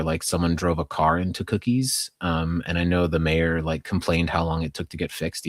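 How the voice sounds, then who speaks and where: A man's voice: low-pitched, no room sound, studio quality. An American man co-hosting a podcast, talking through local news in a casual, conversational, hedging way with plenty of "like". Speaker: podcast co-host.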